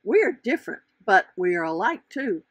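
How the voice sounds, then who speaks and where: A woman voicing a brusque, know-it-all dragonfly with a loud squeaky voice in a children's storybook. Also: big pitch swings, moderate pace, husky, dry recording. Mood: scolding, warning. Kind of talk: children's storybook character reading.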